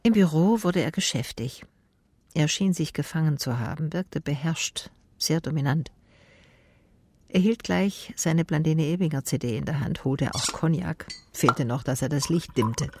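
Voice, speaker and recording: Low-voiced, dry female detective. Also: moderate pace, mellow, a little hiss or hum. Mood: wry, reflective. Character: female private detective, dry, sceptical, probing investigator. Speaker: lead character and first-person narrator. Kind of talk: German radio drama dialogue and narration.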